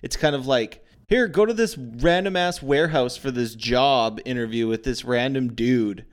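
A man's voice: medium pitch, normal speed, clean tone. A man co-hosting a casual film review podcast, incredulous and asking rhetorical questions. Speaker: podcast co-host.

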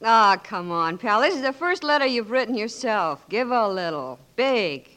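A kind hospital nurse who coaxes and gently teases her patient, with a slightly high voice. It sounds like 1940s radio-play dialogue. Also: very animated, normal speed. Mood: coaxing.

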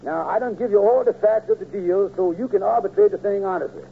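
Folksy swindler, high voice, blustery radio-comedy delivery, narrow hissy 1940s sound. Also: male voice, quick pace, vocal fry. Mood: wheedling. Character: wheedling male schemer and small-time swindler. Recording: scratchy, narrow old radio recording, hum.

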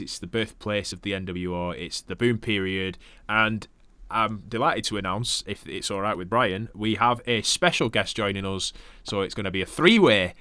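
A man's voice: lowish voice, bright, forceful tone, no echo. A man hosting a casual wrestling podcast, upbeat and jokey, presenting the next episode like a presenter. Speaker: podcast host. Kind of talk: casual chat.

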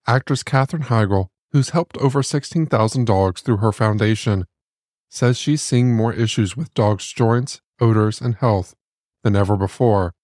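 A man's voice: fairly low pitch, a little slow, darkish tone, no room sound. A man reading scripted podcast narration as the host, close to the microphone. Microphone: close studio microphone. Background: music.

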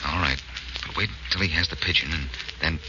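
Shrewd, wry detective: low, hard-edged voice, assured 1940s radio delivery, dull old recording. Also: male voice, brisk, background noise. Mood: hushed, wry. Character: shrewd, confident male amateur sleuth, wry and brisk.

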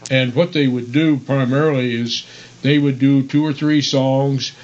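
Casting: older male co-host, average pitch, relaxed conversational style, slightly lo-fi recording. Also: unhurried. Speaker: radio show co-host. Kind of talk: casual chat about old radio westerns.

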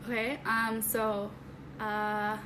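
A teenage woman talks as a podcast guest in a friendly, hesitant way, often pausing on "um." Her pitch sits in the middle range, and steady background noise is clearly heard. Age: teens. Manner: friendly, hesitant, many ums. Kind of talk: casual chat.

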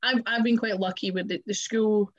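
A woman's voice: mid-range voice, steady tone, normal speed. Scottish woman, wrestler guest, chatty, acts out conversations, slightly dull lo-fi sound. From Scotland. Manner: chatty, acts out quoted exchanges. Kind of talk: casual chat.

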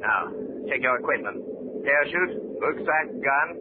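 A man's voice: medium pitch, expressive, normal speed, narrow, tinny audio, close to an everyday voice. Guy doing a bossy army captain, clipped military talk, old radio drama with lots of hiss. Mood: stern, urgent. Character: commanding German army captain giving mission orders. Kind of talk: 1940s radio drama acting. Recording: noisy, phone-thin old 1940s radio recording.

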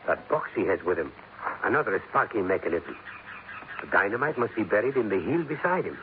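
A man's voice: fairly low pitch, very animated, a little fast. A man playing an earnest, practical police captain with a Spanish accent, speaking formally in 1950s radio drama style. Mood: grave. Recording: narrow-band, noisy old radio recording.

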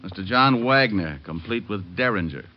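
An actor with an American accent playing a suave, tough private detective in 1950s radio style, wry and wisecracking. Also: male voice, fairly low pitch, animated, moderate pace, a little hiss or hum, natural voice. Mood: cool wisecracking. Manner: wry, hard-boiled, wisecracking. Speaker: lead actor and narrator. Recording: muffled old radio broadcast, music.

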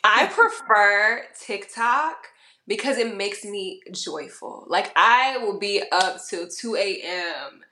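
A woman from Georgia in the US, a guest on a podcast, telling stories in a bubbly, animated way and acting out little voices. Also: medium pitch, takes it slowly. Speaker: guest, model and musician. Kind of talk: casual interview chat. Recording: echoey room.

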